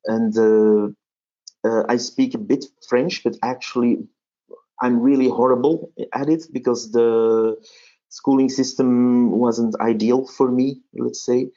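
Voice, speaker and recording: A man's voice: mid-range voice, fairly even intonation, slow, warm tone, muffled recording. A Belgian man with a Flemish accent, a native Dutch speaker who hosts a history podcast, chatting casually in an interview and often restarting his sentences with fillers. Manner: hesitant, many restarts and fillers.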